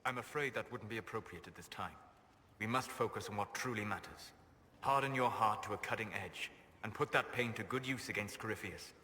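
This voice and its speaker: A man playing a reserved, solemn elven mage in a fantasy game, speaking formally and with measured restraint. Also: fairly low pitch, bright, forceful tone, big-room sound, slight background noise. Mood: guarded, firm. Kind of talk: dramatic fantasy game dialogue.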